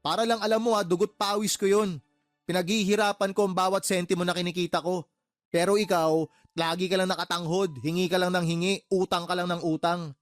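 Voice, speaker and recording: An actor plays an indignant younger brother, fed up with freeloading family. His slightly high male voice rattles out accusations quickly, in radio-drama style over faint music. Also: little pitch movement, dry recording, studio quality, lightly acted. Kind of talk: radio drama dialogue in colloquial Tagalog.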